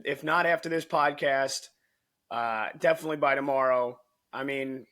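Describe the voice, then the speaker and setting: An American man co-hosts a sports podcast, talking in an excited, emphatic way and often restarting his sentences. His voice sits a little higher than most men's and sounds worn and a bit hoarse from shouting. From United States. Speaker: podcast co-host.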